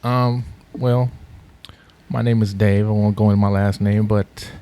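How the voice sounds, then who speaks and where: Middle-aged la guy as podcast guest, kinda low voice, laid back, lots of ums. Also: unhurried, clear, resonant tone. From Los Angeles, USA. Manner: relaxed, rambling, many fillers. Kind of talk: casual podcast interview.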